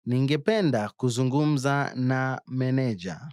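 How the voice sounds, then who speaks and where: A man demonstrating scripted phrases for a language drill, with careful, deliberate enunciation. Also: medium pitch, a little mellow, smooth, dry recording, studio quality. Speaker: native-speaker phrase demonstrator.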